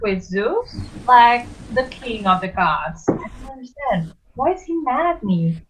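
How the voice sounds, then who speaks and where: A woman's voice: a little high, lively intonation, measured pace, slight background noise. A woman playing a confused, earnest teenage demigod boy in a theatrical table read, bewildered and questioning, on a slightly dull microphone.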